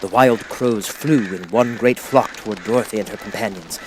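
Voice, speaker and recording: A man reading a children's audiobook as narrator, with expressive, dramatic storytelling. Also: lowish voice, moderate pace, background noise.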